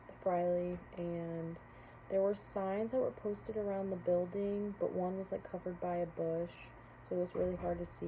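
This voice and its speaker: A young college-age woman talks through a class reflection in a low, very mellow, dark-toned voice. She hedges often, pausing on fillers and restarting her sentences, with faint noise behind her. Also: vocal fry, low-quality recording. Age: young adult. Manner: hesitant, many fillers and restarts. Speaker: college student. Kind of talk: casual spoken class reflection.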